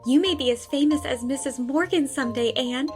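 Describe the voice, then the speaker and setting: An actress playing an excitable young woman who cheers on her friend and takes her side hotly in a dramatized audiobook. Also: sits high, fairly quick, soft background music, natural voice. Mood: eager. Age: young adult. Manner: excitable, indignant on a friend's behalf. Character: eager young woman, loyal admiring friend.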